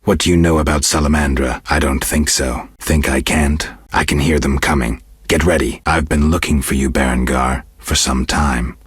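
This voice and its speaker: A man playing a stoic, world-weary monster hunter in a video game, terse and dry, with a low, gravelly, husky voice. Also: steady tone, normal speed, few pauses, no room sound, full character voice. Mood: guarded, businesslike. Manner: terse, restrained, dry delivery. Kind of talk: video game character dialogue.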